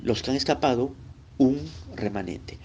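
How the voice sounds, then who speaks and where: A man's voice: mid-range voice, moderate pace, darkish tone, a little hiss or hum. A man from Lima, Peru, with a Peruvian accent, teaching a recorded Bible lesson in a conversational way. He speaks straight to the listener and often checks in with short tag questions. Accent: Peruvian Spanish, Lima.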